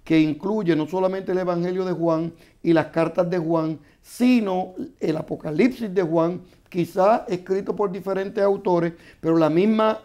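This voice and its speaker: A man, a guest author, explaining scripture in a measured, teaching way on a studio panel. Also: high-pitched, dark tone.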